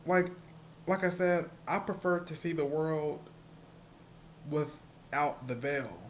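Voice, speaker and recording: A man with a soft voice, stuttering and repeating words as he talks casually to the camera in a personal vlog. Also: a little high, slight background noise. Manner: stuttering, halting with many repeated words and fillers. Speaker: vlogger.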